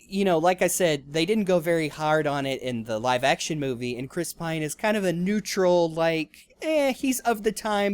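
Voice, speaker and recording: Man, podcast co-host, casual film chat, rambling, lots of ums and restarts. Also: a little high.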